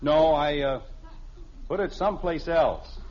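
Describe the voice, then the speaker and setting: A man's voice: a little high, a little slow, natural voice. An American actor playing a stubborn, pompous middle-aged family father in a 1950s radio comedy, defensive and insistent. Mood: sheepish, flustered. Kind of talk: 1950s radio sitcom acting. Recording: noisy, muffled early 1950s radio recording.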